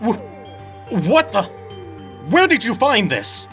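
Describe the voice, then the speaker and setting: A man voicing a rattled, indignant teenage schoolboy, loud and accusing, in an anime game fan dub. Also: extremely high pitch, huge pitch swings, slow pace, faint music behind, exaggerated voice.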